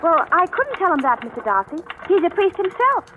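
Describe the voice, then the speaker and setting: An actress playing a nervous, dim but devoted young secretary in a comic vintage radio drama. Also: female voice, very high-pitched, sing-song, a little fast. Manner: nervous, hesitant. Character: timid, dim, devoted female secretary with dental brace. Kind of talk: 1940s radio comedy acting. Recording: noisy, tinny old radio broadcast.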